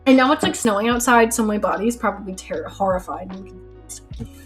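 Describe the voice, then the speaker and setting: A woman's voice: mid-range voice, a little fast. A young woman streaming live while she paints, chatting casually with lots of fillers, her nose stuffed up. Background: soft lo-fi music.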